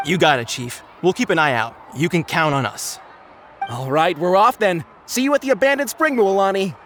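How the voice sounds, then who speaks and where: A man's voice: high voice, animated, moderate pace, a bit bright, soft background music. A man voicing an eager, chatty young patrolman in a video game. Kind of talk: animated game voice acting.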